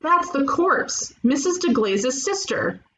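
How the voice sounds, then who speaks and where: A woman playing a brash, bossy ghost detective in an animated comedy table read, teasing and cocky. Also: high voice, takes it slowly, full character voice, low-quality recording. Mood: triumphant. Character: brash, bossy young female ghost detective who loves puns.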